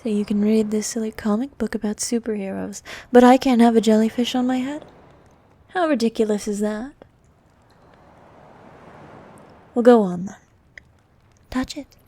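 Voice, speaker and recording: A woman playing a bossy, haughty ancient sea queen monster in an ASMR roleplay, with a soft, partly whispered voice and regal, condescending manner. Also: fairly high pitch. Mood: teasing, scolding. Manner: regal, condescending, formal, unhurried.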